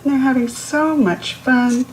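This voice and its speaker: A woman's voice: high voice, steady tone, a little slow, a little mellow, a little hiss or hum, poor audio. A woman reading a picture book aloud to young children, instructive, posing questions to the listener and explaining words. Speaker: children's read-aloud host.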